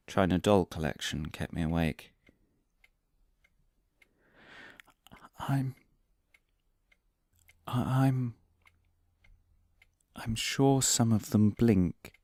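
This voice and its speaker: A man's voice: lowish voice, no echo, clean studio sound. A man playing a deluded, paranoid professor in a comic audio drama monologue, earnest and pompous, with a theatrical, stammering delivery. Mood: aggrieved, paranoid. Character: deluded paranoid male professor, eccentric conspiracy theorist.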